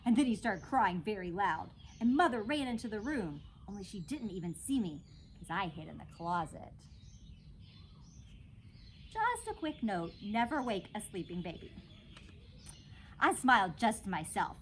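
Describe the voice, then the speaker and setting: A woman reads a children's story aloud with very lively, varied intonation. Her voice has a medium pitch, and there is some noise in the recording. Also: normal speed. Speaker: storytime reader. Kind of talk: animated children's book read-aloud.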